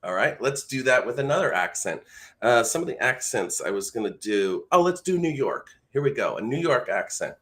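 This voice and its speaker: Male voice-over instructor, American, mid-pitched, casual and encouraging. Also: sing-song, moderate pace, a bit bright. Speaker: voice-over coach.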